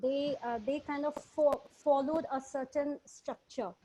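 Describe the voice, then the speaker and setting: A woman's voice: high-pitched, steady tone, unhurried, mellow, slight background noise, poor audio. A woman taking part in a group discussion, explaining informally in a teacherly way and checking with listeners. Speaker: discussion participant.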